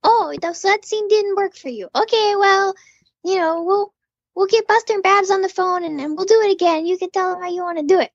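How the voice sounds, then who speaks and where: A woman's voice: very high-pitched, a little fast, no echo, muffled recording, voice pushed up. A woman voicing a sweet, placating little cartoon child on the phone. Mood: chirpy, placating.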